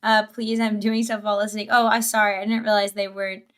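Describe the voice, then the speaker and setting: A woman's voice: medium pitch, level tone, quick pace, fairly bright tone, clean tone. A woman giving casual live reaction commentary as a streamer, rambling informally and asking questions as she goes. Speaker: livestreamer.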